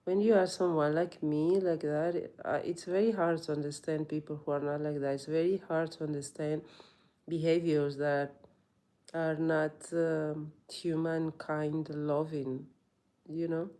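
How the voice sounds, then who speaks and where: A woman's voice: low voice, level tone, unhurried, warm tone. A woman yoga teacher in a reflective personal monologue, earnest and rambling, often saying "you know".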